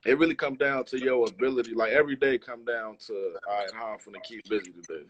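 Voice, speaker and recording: A man's voice: medium pitch, fast talker, dull audio, noisy background. A man talks casually as a guest in an interview. His speech is loose, slangy and full of swearing, in a mid-range voice. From Chicago, United States. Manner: slangy, profane. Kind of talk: casual chat.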